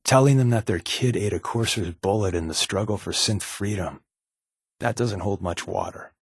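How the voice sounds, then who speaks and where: An actor playing a wry, guarded undercover resistance agent in a video game, conversational and confiding, with a slightly husky voice. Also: male voice, fairly low pitch, moderate pace, no room sound, studio quality. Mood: earnest, measured. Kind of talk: naturalistic video game companion dialogue.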